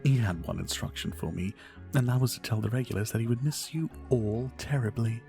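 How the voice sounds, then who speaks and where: Man acting a gravelly, charming vampire bartender. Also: mid-range voice, fairly quick, dark tone, faint music behind, natural voice. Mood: gentle, sympathetic. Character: charming flirtatious male vampire bartender, modest outsider. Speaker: roleplay audio performer. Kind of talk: ASMR roleplay, addressing the listener.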